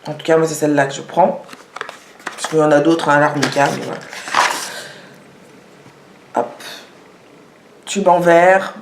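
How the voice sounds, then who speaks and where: A woman's voice: sits low, unhurried, long pauses, noisy background. A woman showing products in a casual vlog, talking with many hesitations and fillers. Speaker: vlogger. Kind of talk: casual product show-and-tell. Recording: echoey room.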